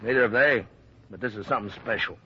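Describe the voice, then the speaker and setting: An actor playing a blunt, plain-spoken small-town sheriff, stern and burdened, in a 1950s radio drama. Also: male voice, lowish voice, fast, pushed and bright, husky, exaggerated voice. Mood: weary, regretful. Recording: muffled, hissy vintage radio recording.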